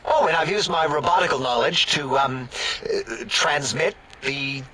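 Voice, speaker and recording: A man's voice: fairly high pitch, a little slow, sharp tone, low-quality recording. A man voicing an awkward, easily flustered robot scientist in a comic video game, hesitant and rambling. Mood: flustered, defensive. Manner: hesitant, rambling, self-correcting.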